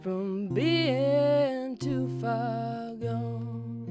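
A woman's voice: on the high side, extremely slow. A young woman singing country music as a performer on a small stage. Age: young adult. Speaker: singer and guitarist, co-host. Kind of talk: brief casual replies and acoustic country singing. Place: small dim stage with curtains. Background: acoustic guitar.

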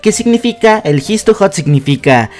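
Lively, high-pitched young Mexican man. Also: moderate pace. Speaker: YouTube host. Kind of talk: scripted fan video commentary. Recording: voice-over with music behind.

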